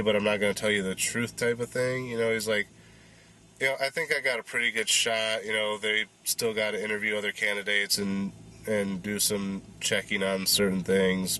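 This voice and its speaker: A man's voice: fairly low pitch, a bit bright, low-quality recording. A man in his thirties giving a rambling, casual movie review with a congested, stuffy voice while he gets over a cold. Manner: rambling, filler-heavy. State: congested, recovering voice.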